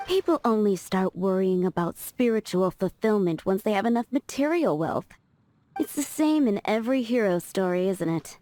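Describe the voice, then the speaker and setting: A woman's voice: medium pitch, animated, normal speed, warm tone, no echo, natural voice. An actress playing a playful, teasing woman tavern owner who jokes in a laid-back way, in game cutscene voice acting. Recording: game audio with faint music.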